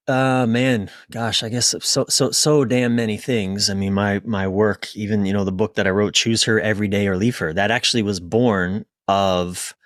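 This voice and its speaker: A man speaking as a podcast guest and relationship coach, telling personal stories in an informal, emphatic, sometimes halting way with casual swearing. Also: fairly low pitch, fairly bright tone, dry recording, clean studio sound.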